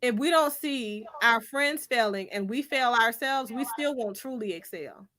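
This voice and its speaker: A woman's voice: on the high side, lively intonation, measured pace, pushed and bright, no room sound. A woman from Finland speaking in an opinionated discussion, making her points in an emphatic, insistent and argumentative way.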